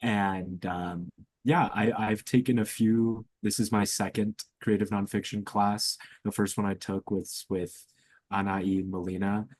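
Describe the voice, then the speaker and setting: A man's voice: lowish voice, steady tone, unhurried, a little mellow. A young man, a student co-hosting a podcast, chatting informally with hesitant phrasing. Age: young adult. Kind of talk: casual chat.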